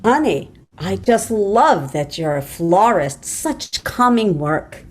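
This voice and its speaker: A woman acts a pushy female matchmaker in a comedy script. She gushes at an unhurried pace, with very lively intonation. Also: medium pitch. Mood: gushing. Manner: gushing, pushy. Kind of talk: screenplay table read acting.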